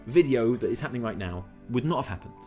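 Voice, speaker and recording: A man's voice: medium pitch, lively intonation, quick pace, loud music behind the voice. A man co-hosting a gaming news show in casual banter, self-deprecating and prone to swearing. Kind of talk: casual banter and chat to viewers.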